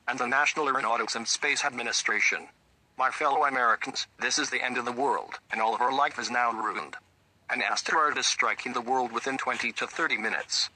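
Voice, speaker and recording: Grave male head of state played by a man, mid-pitched and very sharp, formal scripted emergency address. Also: a little hiss or hum, rough recording.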